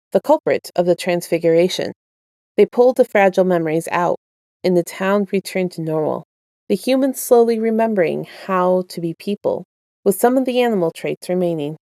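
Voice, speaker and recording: A woman with a slightly low voice works as a story narrator, reading fan fiction she wrote. The sound is close and dry, with no room around it. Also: brisk, darkish tone, dry recording. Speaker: podfic writer and narrator. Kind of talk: casual intro and scripted story narration.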